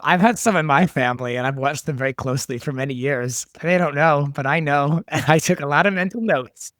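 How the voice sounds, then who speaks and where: A man's voice: a little high, brisk, a bit bright, no room sound. A man chatting casually on a podcast, effusive and emphatic, repeating words for stress.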